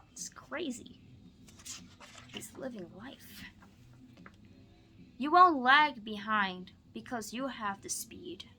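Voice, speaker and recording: A woman with a bright, forward voice takes her time as a teacher demonstrating a read-aloud. Her delivery is expressive and storybook-like, with casual explanations for a student, and there is noticeable background noise in the recording. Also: fairly high pitch. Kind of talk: picture-book read-aloud with teaching asides.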